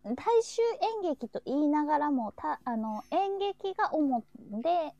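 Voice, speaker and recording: Female talk host, higher register, gentle dark tone, agreeable conversational delivery. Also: expressive, unhurried, vocal fry, no room sound, muffled recording. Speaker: host of a live audio talk show. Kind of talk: casual chat.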